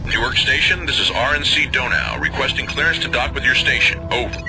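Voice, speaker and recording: An actor playing a formal warship officer in a video game, making clipped military radio calls. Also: male voice, mid-range voice, brisk, over music, lightly acted, low-quality recording. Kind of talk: video game cutscene acting.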